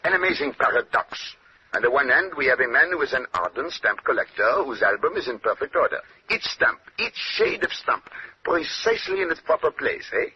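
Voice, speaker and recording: A man's voice: medium pitch, very animated, brisk, lightly acted. Courtly, brilliant Belgian detective male actor, French-speaking accent, theatrical and precise; vintage radio drama, muffled and hissy. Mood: confident, explanatory. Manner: precise, lecturing, punctuates points with questioning "eh?". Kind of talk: old-time radio drama acting. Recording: dull, noisy old radio recording.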